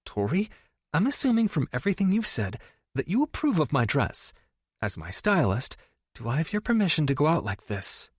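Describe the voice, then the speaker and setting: A guarded young woman trying hard to stay composed, speaking in a tight, measured way, as a male narrator puts her on. Her voice sits lifted above a man's but stays controlled. Dry, close audiobook recording. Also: deep voice, very animated, breathy edge, dry recording, pitched above the performer's own voice, exaggerated voice. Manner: tight, composed, a little exasperated. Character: guarded, reserved young woman, easily embarrassed. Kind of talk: audiobook character voice.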